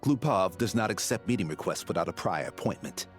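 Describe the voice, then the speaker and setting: A self-important guard with a deep voice and expressive delivery. He is formal and exasperated, a stickler for protocol in a video game. Music plays behind him. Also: a little fast. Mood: officious, exasperated. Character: officious male gate guard, stern, self-important, protocol-bound.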